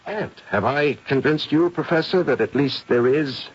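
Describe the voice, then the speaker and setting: An actor playing an older, gray-haired occult investigator in a 1970s radio drama, theatrical and probing, with deliberate dramatic pauses. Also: male voice, on the high side, breathy edge. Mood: solemn, philosophical. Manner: deliberate, dramatic pauses with hesitant lead-ins. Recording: muffled, noisy 1970s radio recording.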